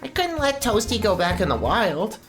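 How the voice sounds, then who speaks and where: A woman's voice: mid-range voice, sing-song, moderate pace, close to an everyday voice. A woman voicing a timid, frightened young girl in a mystery game, hesitant and pleading, with music underneath. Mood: timid, worried.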